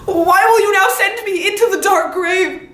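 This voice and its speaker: A woman's voice: very high voice, moderate pace, fairly bright tone. A woman acting a young princess in a Greek tragedy monologue, earnestly begging her father for her life. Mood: anguished, reproachful. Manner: earnest, beseeching, theatrical delivery. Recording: roomy, faintly noisy audition recording.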